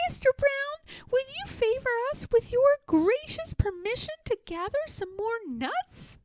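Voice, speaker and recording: A tiny, courteous storybook squirrel acted out by a woman, very high in pitch. The delivery is formal and pleading, with a sing-song lilt that swoops up and down. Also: sing-song, a little slow, dry recording, exaggerated voice. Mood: polite pleading. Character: polite, pleading squirrels begging an owl's leave. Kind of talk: storybook read-aloud character voice.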